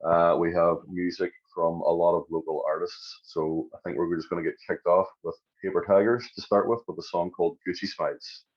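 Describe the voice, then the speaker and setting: A fairly low male voice with a Northern Irish accent, recorded with a slightly muffled, dull sound. He co-hosts a podcast in casual chat, leaning on words and repeating them for emphasis. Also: fairly even intonation, a little fast, hardly any pauses. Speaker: podcast co-host.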